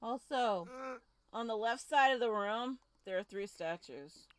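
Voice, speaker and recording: Woman game streamer, slightly high voice, unhurried pace, casual commentary with jumpy muttered reactions. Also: lively intonation, no room sound. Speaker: streamer playing a horror visual novel. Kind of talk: casual livestream commentary and reading aloud.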